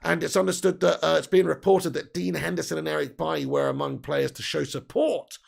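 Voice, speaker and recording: Croaky, gravelly man ranting. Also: fairly high pitch, steady tone, brisk. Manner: heated, sweary ranting with laughs. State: croaky, tired voice. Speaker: football fan channel host. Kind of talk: live stream chat reading viewer comments.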